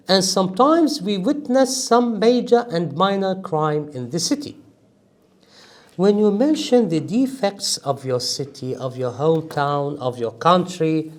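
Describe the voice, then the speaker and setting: A man teaching an English speaking-test class, emphatic and animated, joking with his students. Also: on the high side. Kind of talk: classroom lecture.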